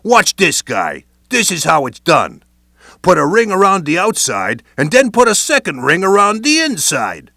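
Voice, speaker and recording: A man's voice: high voice, huge pitch swings, a little slow, vocal fry, bright, forceful tone, no room sound, clean studio sound. A man narrating a training video in playful wiseguy slang. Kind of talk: scripted instructional narration.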